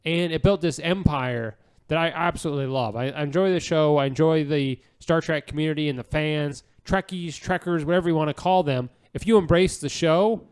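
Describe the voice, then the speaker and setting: A man's voice: on the high side, a little fast, clean tone. A man co-hosting a podcast, chatting casually and enthusiastically in a rambling, gushing way that repeats phrases. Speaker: podcast co-host.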